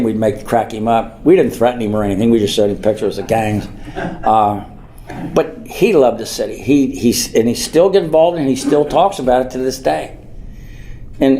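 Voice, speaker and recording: Older man with a mellow, dark voice. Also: medium pitch, slight background noise, poor audio. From Norwood, United States. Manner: rambling, repeats phrases for emphasis. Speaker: mayor. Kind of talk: informal public speech.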